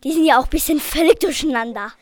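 A childlike performer playing an impatient schoolgirl friend in an amateur school radio play, with a simple, slightly halting delivery. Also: sits high, close to an everyday voice. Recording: noisy amateur school recording.

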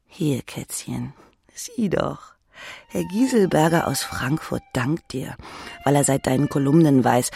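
A woman's voice: rumbling low, creaky, a little mellow. A woman narrating a literary audiobook in the second person, with a dramatic, wry delivery.

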